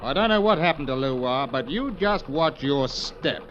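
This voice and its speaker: High-voiced tough sea captain. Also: male voice, lively intonation, measured pace. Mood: suspicious, stern. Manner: terse, forceful, challenging. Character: blunt, suspicious, tough male sea captain. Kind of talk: 1940s-50s American radio drama acting. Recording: muffled vintage radio recording, clear noise.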